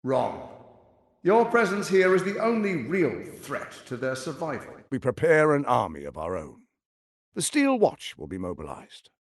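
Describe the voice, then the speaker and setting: Pompous scheming tyrant with wildly swooping delivery. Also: male voice, fairly high pitch, wildly swooping pitch, unhurried, no room sound, studio quality, heavily acted. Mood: calculating. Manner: pompous, theatrical, articulate, condescending. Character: scheming, silver-tongued tyrant archduke, grandiose and menacing. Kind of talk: theatrical video game voice acting.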